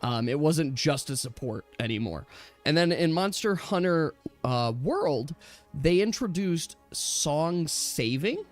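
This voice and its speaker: A man chatting casually and at length as a gaming stream host, emphatic and animated, often correcting himself. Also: fairly high pitch, slow pace. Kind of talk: casual rambling gamer chat. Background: background music.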